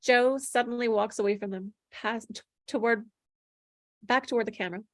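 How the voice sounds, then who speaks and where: A woman's voice: a little high, moderate pace, dry recording, studio quality. A woman reading stage directions aloud at a table read, plainly descriptive, with occasional stumbles over the words.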